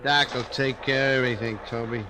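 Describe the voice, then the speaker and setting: A man's voice: mid-range voice, fairly even intonation, a little fast, background noise, close to an everyday voice. A man acting a callous, evasive buffalo-hide outfit boss in a 1950s radio western, grudging and drawling.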